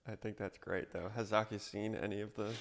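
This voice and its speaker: A man's voice: fairly low pitch, level tone, a little fast, vocal fry. A man co-hosting a podcast in casual chat, making dry teasing asides. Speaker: podcast co-host.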